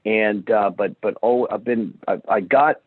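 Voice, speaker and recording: A man in his fifties, low-voiced, tells his story in a rambling way, restarting his sentences often. His voice comes over a tinny, phone-like line with a dry sound. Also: measured pace, no echo. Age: 50s. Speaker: guest. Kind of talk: storytelling interview.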